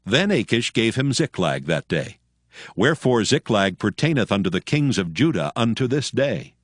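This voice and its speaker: A man reads scripture as a formal narrator. His voice is on the low side with lively intonation and a solemn storytelling manner. The sound is close and dry. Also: moderate pace, dry recording.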